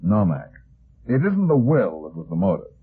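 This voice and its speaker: Laconic low-voiced radio private eye, thin old recording. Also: male voice, big pitch swings, moderate pace, husky, full character voice. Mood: confident. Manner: laconic, blunt questioning. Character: cool, unflappable hard-boiled private detective. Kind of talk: 1950s radio detective drama acting. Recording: muffled phone-like vintage radio recording.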